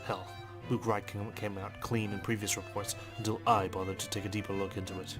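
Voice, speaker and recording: A man reading visual novel text aloud as a let's player, in a measured, understated storytelling manner with brief asides. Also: lowish voice, little pitch movement. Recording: music behind the voice. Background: game music.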